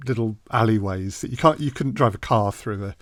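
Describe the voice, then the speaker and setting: A man's voice: fairly low pitch, a little fast, a bit bright, no echo, clean studio sound. A man from Leicester with an English accent, hosting a casual podcast interview in a musing, conversational way. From Leicester, England. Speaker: podcast host.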